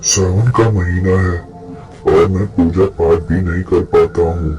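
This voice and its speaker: A man's voice: deep voice, fairly even intonation, a little slow, over music. A man voicing a humble, hard-working poor village farmer in a plain, earnest manner in a cartoon folk tale. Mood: humble, hurried.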